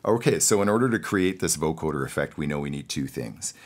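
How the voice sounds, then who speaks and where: Low-voiced man giving a casual tutorial. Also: expressive, fairly quick. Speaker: host and audio production instructor. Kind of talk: casual tutorial, explaining studio gear.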